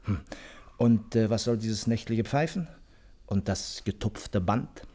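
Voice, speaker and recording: A man acting a puzzled, good-natured gentleman sidekick in a German radio play, plain-spoken and questioning. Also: lowish voice, slow pace. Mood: baffled.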